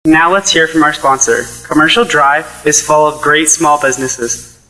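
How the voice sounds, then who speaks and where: A man's voice: fairly high pitch, moderate pace, bright, forceful tone, soft background music, poor audio. A young man playing an upbeat, sociable teenage boy in an audio play. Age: teens to young adult. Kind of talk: youth audio play acting.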